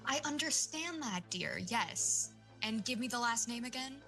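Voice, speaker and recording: A woman's voice: a little high, expressive, a little slow, pushed and bright, soft background music. Chatty, weary receptionist Betty, acted by a woman. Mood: officious. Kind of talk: audio drama table read.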